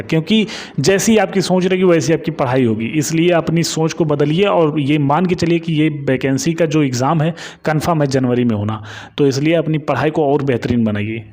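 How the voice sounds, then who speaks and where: A man speaks in a somewhat high-pitched voice. He gives informal exam updates as an online study adviser. His delivery is repetitive and emphatic, urging listeners on and dropping in frequent reassuring tags. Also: a little mellow.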